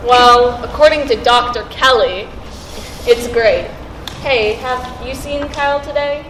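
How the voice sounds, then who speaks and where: A young woman playing a candid, steady teenage student in a school play, recorded on an echoey stage. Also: fairly high pitch, slow pace, a little hiss or hum. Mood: lightly wry. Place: stage in a large room.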